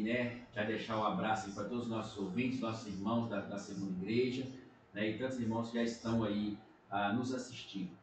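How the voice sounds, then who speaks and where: A middle-aged man, a pastor from Minas Gerais with a Brazilian accent, giving an earnest testimony in a casual podcast chat. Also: lowish voice. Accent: Brazilian Portuguese, Minas Gerais. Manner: earnest, frequent tag questions. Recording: echoey room, distant mic, faint noise.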